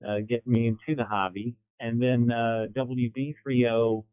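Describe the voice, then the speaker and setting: A man's voice: mid-range voice, level tone, measured pace, clean tone, dry recording. A middle-aged American man chatting casually as a caller over an amateur radio link. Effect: amateur radio transmission. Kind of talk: casual radio check-in chat. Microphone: two-way radio. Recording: narrow phone-like radio transmission.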